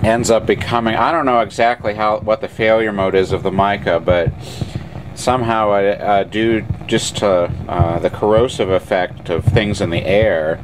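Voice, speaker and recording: Guy with a lowish voice talking thru a repair demo, matter of fact, bit halting, noisy camera audio. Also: fairly even intonation. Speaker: radio repair hobbyist and narrator. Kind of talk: casual hands-on demonstration commentary. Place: indoor electronics workbench. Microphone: handheld camera microphone. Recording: noisy room with radio hiss, handheld camera. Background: test radio noise and hum.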